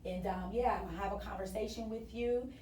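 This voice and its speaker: Female American interview guest, soft mellow tone, casual promotional talk, distant roomy mic. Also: medium pitch, steady tone, fairly quick, a little hiss or hum. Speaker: interview guest, business founder.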